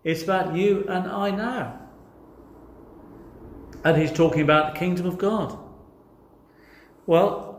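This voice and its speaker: A man with an English accent preaching a sermon earnestly, putting questions directly to his listeners. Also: on the high side. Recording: echoey, reverberant room sound.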